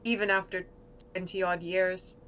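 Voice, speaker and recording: An American woman whose accent has shifted toward British after decades in the UK, chatting relaxed as a guest artist over a voice call. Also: lowish voice, moderate pace, slight background noise. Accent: American softened by decades in Britain. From United States. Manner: relaxed, laughs easily, trails off. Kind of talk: casual interview chat.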